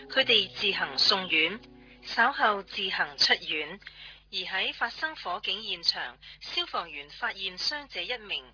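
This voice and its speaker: A woman's voice: medium pitch, pushed and bright. A formal Hong Kong news reporter in Cantonese. Her scripted delivery rises and falls widely. Music runs behind her on a slightly muffled radio recording.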